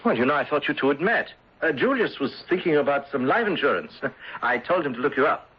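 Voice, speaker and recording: Sociable gentleman, radio drama. Mid-range, raspy, expressive; dull vintage broadcast sound. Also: fairly high pitch, a little fast. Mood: jovial. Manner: expressive, theatrical. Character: Tom, hearty, sociable gentleman friend and host. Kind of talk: 1970s radio drama acting. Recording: dull old radio recording.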